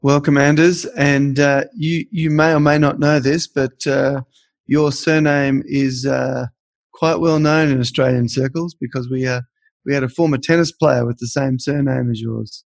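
Male co-host, higher voice, gravelly, dry sound, chatty and wry. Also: fairly even intonation, normal speed, dry recording. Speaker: podcast co-host. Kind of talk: casual podcast chat.

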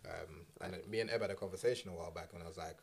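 A man's voice: sits low, rapid-fire, creaky, slight background noise. A man hosting a casual group conversation in informal, slangy speech. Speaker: podcast host.

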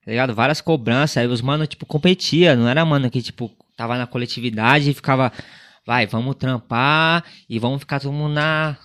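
A twenty-year-old man with a São Paulo accent, telling his story as a podcast guest in slangy, animated casual chat. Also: mid-range voice, brisk, clean tone. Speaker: podcast guest, delivery cyclist.

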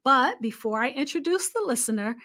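A woman's voice: sits high, expressive, fairly quick, sharp tone. A woman hosting a live radio call-in interview, speaking in an affirming, encouraging way. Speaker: host of a radio storytelling show.

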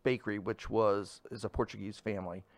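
Relaxed man, dry close sound. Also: fairly low pitch, fairly quick, dry recording. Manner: relaxed, rambling with fillers. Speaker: food truck owner. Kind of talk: casual interview talk.

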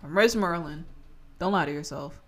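A woman's voice: on the low side, moderate pace, a bit bright. Young woman, slight Baltimore accent, comedic rant, sarcastic, profane, emphatic. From Maryland.

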